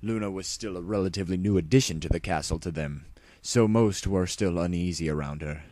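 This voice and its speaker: A man's voice: deep voice, brisk, darkish tone, no room sound. A man narrating fan fiction in a mock-serious, theatrical audiobook style.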